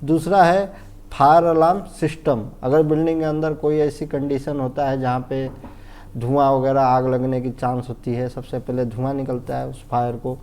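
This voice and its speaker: A man's voice: mid-range voice, little pitch movement, moderate pace, a little mellow. A man with an Indian accent giving an informal technical lesson as a fire safety instructor, explaining step by step, repeating himself and often checking that he is understood. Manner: didactic, repetitive, often checks understanding and asks himself questions.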